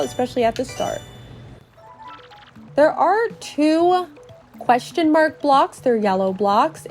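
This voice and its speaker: High-voiced woman playfully narrating a video game. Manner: cutesy, expressive, storyteller-like, uses sound words. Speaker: podcast host doing a dramatic read-along of a video game. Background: music.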